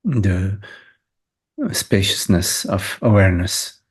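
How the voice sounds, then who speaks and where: A man guiding a meditation as a teacher, speaking in short, unhurried phrases separated by long pauses. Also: sits low, husky. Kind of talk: guided meditation.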